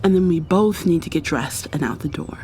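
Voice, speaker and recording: A woman playing an affectionate, teasing, gently commanding girlfriend in an ASMR roleplay, speaking intimately and coaxingly and often dropping to a whisper. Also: deep voice, brisk, slight background noise.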